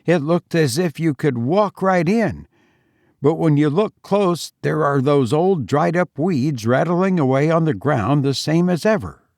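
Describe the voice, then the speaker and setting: Man doing a shaken son, pitched up. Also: lively intonation, measured pace, a little mellow, no room sound, clean studio sound. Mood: nervous, feigned calm. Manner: trying to control a shaky voice. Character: shaken son feigning unconcern.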